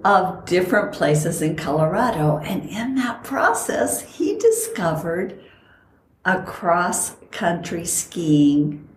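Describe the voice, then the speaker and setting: A woman's voice: on the low side, very animated, takes it slowly, echoey room, a little hiss or hum. A middle-aged woman from Costa Rica, co-hosting a podcast and telling stories in a reflective, chatty way. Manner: reflective storytelling. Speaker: podcast co-host. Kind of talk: casual chat.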